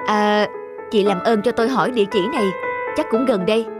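A big-hearted, harried young delivery man played by a male actor in a cartoon drama. He talks politely and apologetically, over loud music. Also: sits high, fairly quick, close to an everyday voice. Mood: polite, kindly. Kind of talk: animated drama dubbing.

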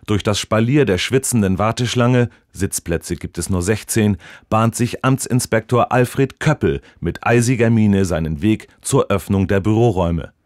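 A man's voice: fairly low pitch, normal speed, a little mellow, no room sound, studio quality, lightly acted. A man playing an earnest, formal radio reporter in mock-serious broadcast style. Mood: solemn, deadpan.

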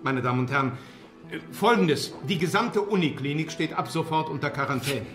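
An actor playing an authoritative male hospital doctor in a German radio drama, speaking in a formal, measured way. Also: a little high, natural voice. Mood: urgent, official. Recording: roomy hall, music underneath.

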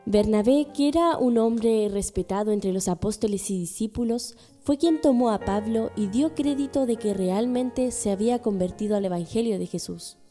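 A woman's voice: medium pitch, warm tone. A woman narrating a religious radio drama from a script.

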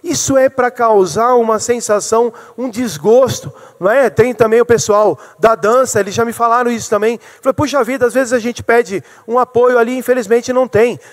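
A man in his forties with a notably high voice gives an earnest speech from a podium microphone in a council chamber. He asks himself rhetorical questions and restarts phrases as he goes. The hall leaves a long reverb behind every line. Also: moderate pace, hardly any pauses. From Brazil. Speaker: city councilman.

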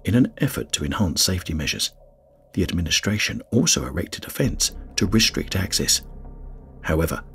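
A man reading a scripted documentary narration in a grave, measured way. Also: low-pitched, big pitch swings, a bit bright. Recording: faint music bed under voice-over.

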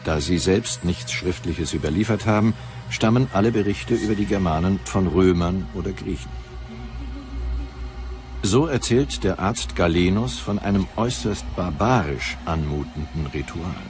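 A male documentary narrator with a very low voice. He reads scripted lines in a measured, deliberate way while music plays clearly behind him.

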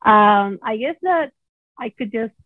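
A woman from Venezuela, a native Spanish speaker, chatting casually and haltingly as a self-conscious coaching client. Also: a little high, lively intonation, no echo. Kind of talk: casual personal chat. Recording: muffled, dry remote-call sound.

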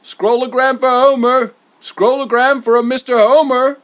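A man voicing a cheeky, mischievous young messenger god in a storybook, brash and loud with slangy delivery. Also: high-pitched, big pitch swings, normal speed, voice pushed up, heavily acted. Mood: loud hailing.

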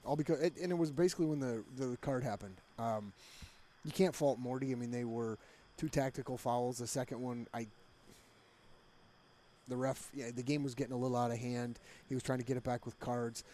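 A man's voice: medium pitch, steady tone, brisk, mellow, a little hiss or hum. A middle-aged man, a head soccer coach, talking candidly in a postgame interview through a headset mic in a small studio. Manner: candid, conversational, with frequent fillers. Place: small studio with green backdrop.

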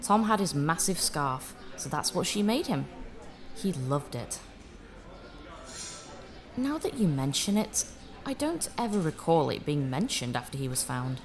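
A woman acting as a candid, helpful widow in an audio drama. Her voice sits fairly low and swings widely in intonation. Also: big pitch swings, unhurried, pauses often. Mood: wistful.

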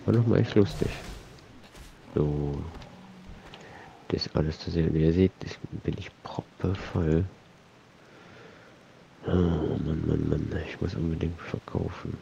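A man's voice: extremely low pitch, measured pace, gravelly, long pauses, warm tone, muffled recording, a little hiss or hum. A man with a cold, casually rambling and muttering to himself while commenting on his gameplay. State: has a cold. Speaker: let's play host.

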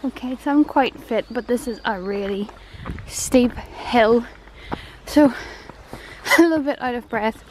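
A woman's voice: fairly high pitch, takes it slowly, slight background noise, poor audio. A woman vlogger talking casually and enthusiastically while walking outdoors through a woodland park, recorded on a handheld camera. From Northern Ireland. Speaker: travel vlogger.

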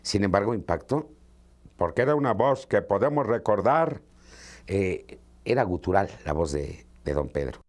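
An older man, a radio veteran, reminiscing in a deliberate, reverent way. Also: fairly low pitch, lively intonation. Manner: deliberate, reverent, repeating names. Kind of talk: reminiscing in interview.

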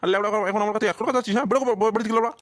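A man's voice: high-pitched, steady tone, rapid-fire, fairly bright tone, dry recording. A man voicing a foul-mouthed, scolding old village father with an aching back in a crude comedy cartoon. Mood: angry, threatening.

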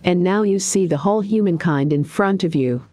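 A woman guiding a meditation in a calm, deliberate, soothing way, with pauses between short phrases. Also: on the low side, faint music behind. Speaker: meditation guide and coach. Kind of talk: guided meditation narration.

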